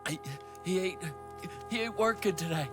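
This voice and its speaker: An actor playing a nervous, halting prison guard held hostage and scared, in a video game drama. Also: male voice, high-pitched, music in the background, close to an everyday voice.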